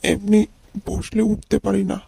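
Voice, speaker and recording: A man voicing a vain, huffy old sugar daddy with a husky, creaky voice and blustering manner in an amateur comedy cartoon. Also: high voice, flat delivery, unhurried, dark tone, dry recording, exaggerated voice. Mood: nervous muttering. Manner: indignant, blustering.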